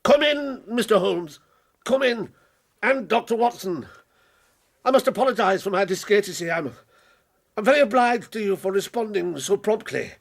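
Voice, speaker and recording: Very high-pitched, creaky, English, Victorian gentleman, frightened, blustering, evasive, stammering, radio drama. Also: expressive, moderate pace, exaggerated voice. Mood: flustered apologetic. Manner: agitated, indignant, stammering. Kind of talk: radio period drama acting.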